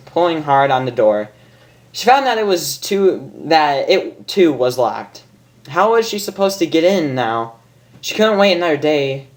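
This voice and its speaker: Low-pitched woman reading aloud, unhurried. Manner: stumbling, re-reads botched lines, self-mocking. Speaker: fan fiction reader. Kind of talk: informal story narration.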